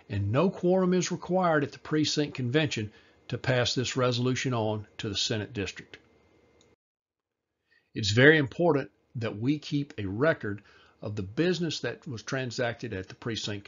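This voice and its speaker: A man reading a scripted informational voice-over, measured and explanatory, slowing down for key details. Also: mid-range voice, breathy edge, highs cut off. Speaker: party presenter.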